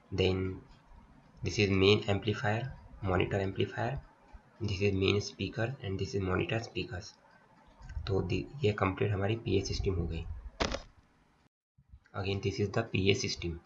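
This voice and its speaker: A man's voice: deep voice, creaky. A man with an Indian accent giving a slide lecture, reading points aloud and explaining them step by step. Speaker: polytechnic lecturer. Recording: dull lo-fi audio, faint noise, clicks.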